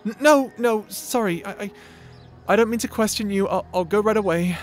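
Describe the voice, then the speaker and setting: High-pitched, timid, stammering young man played by an actor. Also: normal speed, faint music behind, lightly acted. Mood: flustered, nervous. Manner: stammering, apologetic, hesitant. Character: timid, lovesick young male adventurer, flustered and eager. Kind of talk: audio roleplay drama acting.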